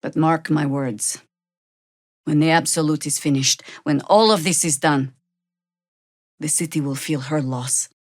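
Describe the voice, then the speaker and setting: An actress playing a stern, wry, middle-aged druid veteran in a video game, speaking in a measured, commanding way. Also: female voice, low-pitched, no echo, studio quality. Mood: stern warning. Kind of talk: video game character dialogue and spell shouts.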